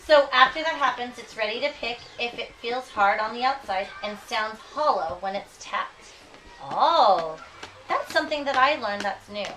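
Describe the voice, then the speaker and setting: Bright-voiced woman teacher, recorded from afar. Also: on the high side, soft background music. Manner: expressive, deliberate reading, checking understanding with questions. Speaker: elementary school teacher. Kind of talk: read-aloud lesson with questions to children. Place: school classroom. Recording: noisy classroom, distant microphone.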